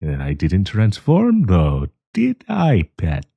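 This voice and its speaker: A man's voice: low voice, wildly swooping pitch, creaky, husky, dull audio, heavily acted. A man playing a kindly old professor with a hidden possessive menace, courtly and teasing in an intimate audio roleplay. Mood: sly, menacing. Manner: courtly, teasing, chuckling, deliberate.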